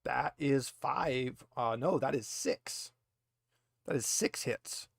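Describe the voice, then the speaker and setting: A man's voice: mid-range voice, slow pace, gravelly, slightly rough, dry recording. A man runs a tabletop roleplaying game as game master. He talks casually and explains the rules as he goes. The sound is dry, with no room around the voice.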